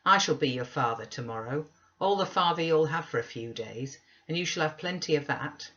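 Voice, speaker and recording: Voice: medium pitch, animated, moderate pace, pushed and bright. A reader voicing a cruel, domineering man in an audiobook, with a harsh, commanding manner. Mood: threatening. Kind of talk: audiobook reading. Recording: dull lo-fi recording.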